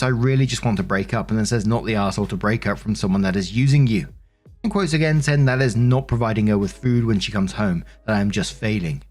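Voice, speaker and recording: A man's voice: mid-range voice, a little mellow. A man hosting a story show, reading posts aloud in a narrating style with casual commentary. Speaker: host narrating online stories.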